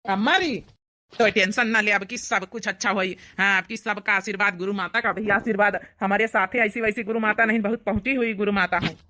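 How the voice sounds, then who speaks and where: A woman's voice: mid-range voice, steady tone, brisk, sharp tone, slight background noise, lightly acted. Woman acting a young village husband, Awadhi accent, mid-range voice, worried, suspicious, muttering, cartoon comedy. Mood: earnest, grumbling.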